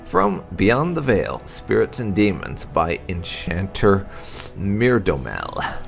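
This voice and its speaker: A man hosting a gaming video, reading lore entries aloud in a theatrical, expressive way with occasional asides. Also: low-pitched, takes it slowly, creaky, soft background music.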